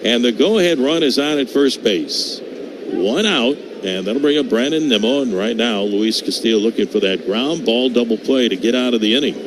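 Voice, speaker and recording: Guy doing live baseball play by play on radio, medium pitch voice, noisy ballpark behind him. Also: expressive, normal speed. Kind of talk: live radio sports commentary. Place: open-air ballpark broadcast booth. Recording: clear outdoor ballpark noise behind.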